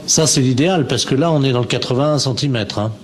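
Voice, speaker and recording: Man acting earnest telephone appliance salesman, mid-pitched, deadpan prank-call delivery, faint line noise. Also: fast talker, lightly acted. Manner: matter-of-fact, deadpan. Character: earnest male appliance shop phone salesman spouting absurdities. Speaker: phone prankster. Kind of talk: improvised prank phone call.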